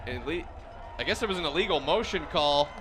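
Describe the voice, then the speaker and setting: A man's voice: on the high side, expressive, fairly quick, a crowd in the background. An American man doing live play-by-play commentary of a football game as a radio announcer. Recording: loud outdoor stadium noise behind voice.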